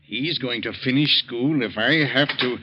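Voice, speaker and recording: A male actor as a stern, wealthy American doctor and father, indignant and domineering. He scolds in old radio drama style, with a medium-pitched voice on a muffled 1940s recording. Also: moderate pace, a little hiss or hum, lightly acted. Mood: angry.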